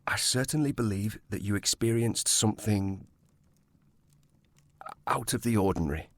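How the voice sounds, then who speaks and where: An actor playing a courteous, reserved police sergeant in a period audio drama, speaking in a formal, measured manner. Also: male voice, on the low side, a bit bright, no echo. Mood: sober, earnest.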